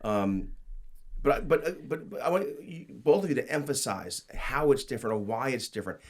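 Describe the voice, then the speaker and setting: Excitable male host with lively pitch. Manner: enthusiastic, halting with many restarts. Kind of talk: policy interview show.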